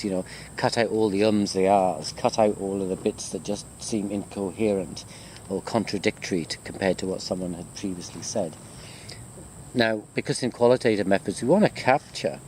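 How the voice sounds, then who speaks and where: A man's voice: lowish voice, a little mellow, slight background noise. A man lecturing informally to his students in a walking video chat, self-deprecating and conversational, rambling as he goes. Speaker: university lecturer.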